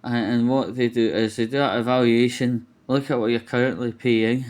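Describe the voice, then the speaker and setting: A man's voice: medium pitch, fairly even intonation, moderate pace, a little mellow. A man giving a casual, opinionated monologue as a vlogger, rambling and colloquial, with some mild swearing.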